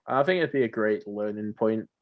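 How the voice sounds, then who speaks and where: A man's voice: fairly low pitch, normal speed, narrow, tinny audio. A man co-hosting a podcast in unscripted casual chat, rambling along in a slangy, jokey way. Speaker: podcast co-host.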